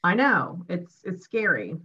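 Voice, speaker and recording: A middle-aged woman speaks on a video-call panel in a bright, sharp voice. She is upbeat, cites figures and laughs quickly. Her audio comes through dull and lo-fi. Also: lowish voice, sing-song. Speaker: panel guest. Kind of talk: casual panel discussion. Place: home room with windows and bookshelf. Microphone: video call webcam.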